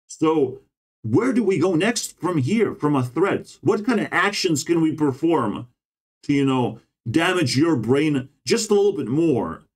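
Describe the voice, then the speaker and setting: A man in a rambling casual monologue who swears often, ends many phrases with "bro", and keeps jumping between high and low pitch. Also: lively intonation, measured pace, breathy edge. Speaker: vlogger.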